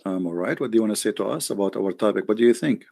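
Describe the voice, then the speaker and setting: Gravelly-voiced man hosting a call-in. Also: on the low side, rapid-fire, mellow. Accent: Arabic. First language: Arabic. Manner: teasing, jokey, non-native phrasing. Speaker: host taking calls. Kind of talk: casual call-in debate chat.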